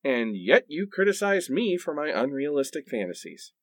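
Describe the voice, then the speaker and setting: A man's voice: on the high side, very animated, normal speed, dry recording. A man playing a pleading, sarcastic partner who bickers in a comedic radio play.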